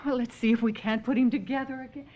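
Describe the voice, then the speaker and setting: An actress playing a long-suffering, tender wife in an old-time radio drama, earnest and emotional. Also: female voice, a little high, steady tone, fast, dark tone, close to an everyday voice. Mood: concerned. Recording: muffled vintage radio drama recording.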